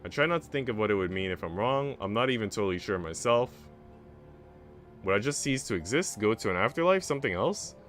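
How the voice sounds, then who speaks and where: A man's voice: fairly low pitch, expressive, fairly quick, fairly bright tone, faint music behind. A man reading a visual novel aloud as a streamer, narrating the story in the first person.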